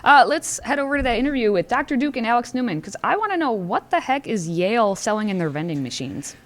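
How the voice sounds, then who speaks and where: A woman's voice: on the high side, very animated, fast talker, a little hiss or hum. A woman co-hosting a talk show, making dry, wry remarks in casual banter. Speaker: co-host.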